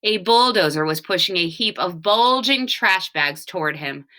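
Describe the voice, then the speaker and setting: A woman reading a children's storybook aloud, with expressive, deliberate phrasing and short explanations. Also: lowish voice. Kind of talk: read-aloud storytelling with brief picture explanations.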